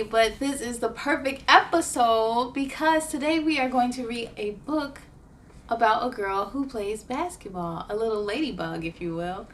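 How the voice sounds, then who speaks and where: A woman hosting a story time for children, reading and chatting in an animated, playful way. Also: on the high side. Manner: animated, exclamatory, playful with sound effects. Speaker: story time host reading picture books. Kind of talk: children's read-aloud storytelling.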